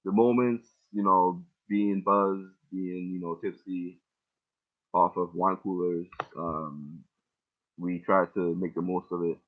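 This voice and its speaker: A man's voice: low voice, steady tone, takes it slowly. A young man casually breaking down his own song lyrics line by line, rambling and swearing freely. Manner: loose, rambling, heavy casual profanity. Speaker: rapper explaining his lyrics. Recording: tinny phone-like audio.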